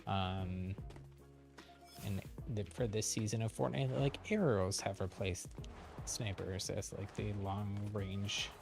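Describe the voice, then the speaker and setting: A man talking casually as he livestreams a game, thinking aloud in a halting, informal way. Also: lowish voice, fairly even intonation, creaky, over music. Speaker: livestreamer, channel host. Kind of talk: casual game stream commentary and chat.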